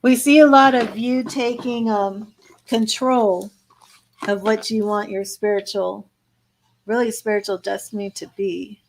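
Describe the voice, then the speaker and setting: A hoarse woman losing her voice, giving a live tarot reading and reading card meanings aloud between casual asides. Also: mid-range voice, fairly even intonation, a little slow, slight background noise, poor audio. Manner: reads card meanings aloud, then chats and restarts. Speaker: tarot reader.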